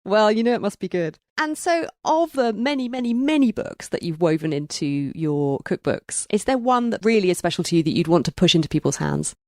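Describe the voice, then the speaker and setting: A woman's voice: mid-range voice, expressive, fairly quick, few pauses, darkish tone. A woman hosting a podcast, chatting casually about books and putting questions to her guest. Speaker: podcast host, interviewer. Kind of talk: casual book chat.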